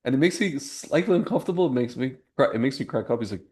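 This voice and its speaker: Young guy hosting a podcast, rambles and restarts a lot, medium pitch. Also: lively intonation, fast talker, darkish tone. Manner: rambling, many restarts and fillers. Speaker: podcast host. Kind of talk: casual chat.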